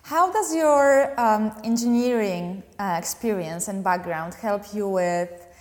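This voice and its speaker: A woman's voice: medium pitch, slow, darkish tone. A woman interviewer asking curious, probing questions of a guest. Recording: long reverb.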